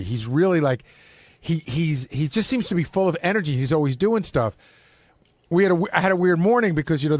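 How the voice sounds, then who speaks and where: A man's voice: on the high side, darkish tone, dry recording. A man in a casual panel discussion, telling stories conversationally and quoting exchanges. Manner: conversational storytelling, acting out quoted exchanges. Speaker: radio show staff member.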